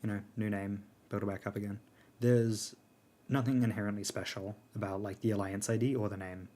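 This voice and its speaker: A man on a casual live stream answering chat, his voice strained, laid-back with frequent fillers and soft laughs. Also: on the low side, little pitch movement, brisk. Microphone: earbuds.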